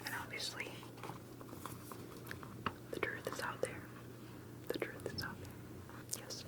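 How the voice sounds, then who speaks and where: Whispering, secretive woman in ASMR roleplay. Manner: hushed, secretive, hesitant with soft pauses. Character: secretive, cautious investigator interviewing an alien abductee.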